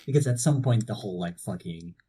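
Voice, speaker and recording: Young man, from Portugal, husky voice, relaxed chat, cheap mic. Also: fairly low pitch, a little fast. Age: young adult. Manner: relaxed, swears casually, laughs often. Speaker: podcast co-host. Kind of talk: casual chat. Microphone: cheap low-quality mic.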